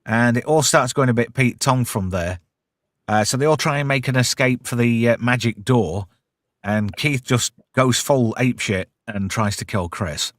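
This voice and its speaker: A man's voice: mid-range voice, normal speed, no room sound, clean studio sound. A British man hosting a pop culture show, recapping casually in sweary, irreverent slang.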